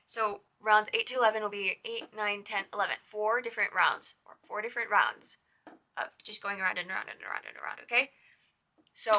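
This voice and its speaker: A young woman with a hoarse, raspy voice hosts a casual crochet tutorial. She chats with a lot of "like" and counts her stitches in a soft whisper. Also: medium pitch. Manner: chatty, with lots of "like".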